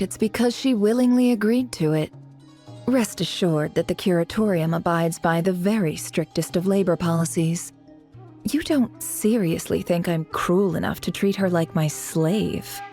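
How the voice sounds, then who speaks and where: A proud, theatrical young woman of a video game , played by an actress, speaks in a lowish register. She is arch, teasing and smug, as a lazy boss would be. Soft music sits behind the voice. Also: lightly acted. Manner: arch, teasing, self-satisfied. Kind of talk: English video game dub acting.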